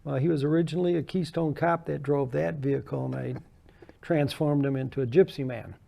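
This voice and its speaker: Older guy, kinda high but gravelly voice, rambling stories and chuckling. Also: fairly even intonation, fairly quick, warm tone. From American. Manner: chatty anecdotal storyteller, laughs often. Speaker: guest model builder. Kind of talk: casual interview storytelling.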